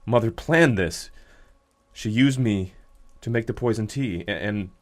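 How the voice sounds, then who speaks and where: Actor as low-voiced, overwrought vengeful son. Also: male voice, measured pace, natural voice. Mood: bitter rage. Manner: overwrought, stammering under pressure. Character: desperate, vengeful adult son framed for murder. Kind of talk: radio thriller acting.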